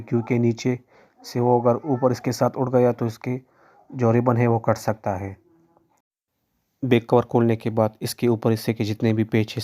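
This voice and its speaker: A man with a mid-pitched, mellow voice narrates a how-to tutorial. His intonation stays very even as he gives plain instructions one step at a time. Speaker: phone repair tutorial presenter.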